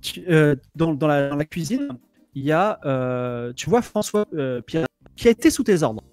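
Game master, dry close mic. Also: male voice, on the high side, expressive, normal speed, darkish tone, no room sound, soft background music. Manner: hesitant, many fillers. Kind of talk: narrating a tabletop game. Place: home room with bookshelves. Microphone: headphones and studio microphone.

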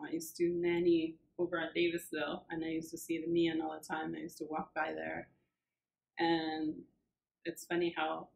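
A woman's voice: lowish voice, vocal fry, poor audio. A woman in her thirties from Argentina, answering interview questions in a plain, friendly way with fillers, in a small office. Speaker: church administrative assistant. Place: small church office, indoors.

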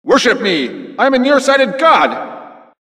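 Swooping, smug nerd villain. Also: male voice, extremely high pitch, sing-song, slow, a bit bright, exaggerated voice. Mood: gloating. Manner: boastful, theatrical, petulant. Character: smug, jealous nerdy game designer villain, cartoon parody. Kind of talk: video game cartoon voice clips. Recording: echoey, long reverb.

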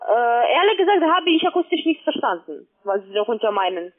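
A woman who is a non-native speaker giving a halting, pressing phone sales pitch full of questions over a narrow phone connection. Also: very animated. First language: not German. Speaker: phone sales agent, account manager. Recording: narrow tinny phone line.

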